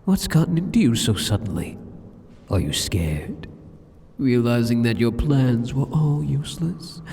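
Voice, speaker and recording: In a long, cavernous reverb, a sadistic demon king acted by a man speaks slowly and with teasing condescension. His voice is dark and mellow, full of arrogant mockery, in the style of an ASMR roleplay. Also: medium pitch, big pitch swings, vocal fry, slightly rough, exaggerated voice. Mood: taunting, amused. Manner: slow, mocking, condescending, teasing. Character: sadistic, arrogant male demon king taunting a captive hero.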